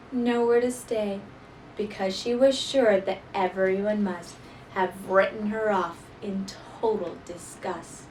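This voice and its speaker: A woman reading a rhyming children's story aloud in an expressive, theatrical style. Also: on the high side, a little hiss or hum. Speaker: storybook reader. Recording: voice somewhat distant from mic.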